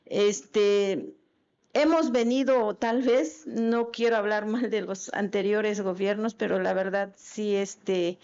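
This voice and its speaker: Halting woman addressing a legislative meeting. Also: medium pitch, fairly even intonation, darkish tone, clean tone. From Chiapas, Mexico. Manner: halting, many fillers and restarts. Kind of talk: formal public address. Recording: dull lo-fi event audio.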